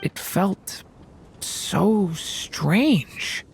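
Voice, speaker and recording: A soft-hearted dragon boy in human form for an anime game. The voice is youthful and gentle, with a hesitant, earnest delivery and faint noise behind it. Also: mid-range voice, lively intonation, drawn-out words, creaky, exaggerated voice. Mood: troubled, reflective. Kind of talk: anime video game voice acting.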